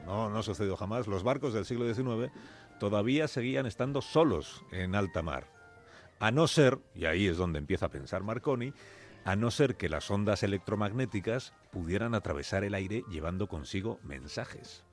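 A man with a Castilian Spanish accent narrating a scripted radio story in a dramatic, deliberate way, in short suspenseful phrases. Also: lowish voice, lively intonation, faint music behind.

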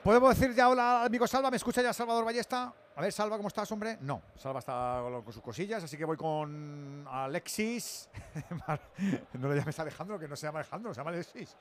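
A man's voice: on the high side, brisk, a little hiss or hum. A man with an accent from Spain hosting live sports radio in a casual, bantering, teasing way. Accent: Spanish (Spain).

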